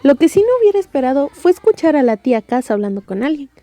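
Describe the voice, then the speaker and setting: A woman with a Mexican accent reads a story with wry humour. Her voice is somewhat high yet mellow, recorded dry, with soft music underneath. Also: big pitch swings, fast, dry recording. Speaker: audiobook narrator. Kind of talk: wry scripted story narration.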